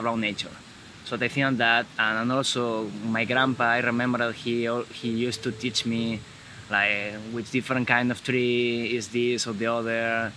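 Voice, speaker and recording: A man from Galicia in Spain with a Spanish accent, talking in a casual conversation in halting English and often restarting his sentences. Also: medium pitch, sharp tone, slight background noise, low-quality recording. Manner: halting, searching for words, frequent restarts.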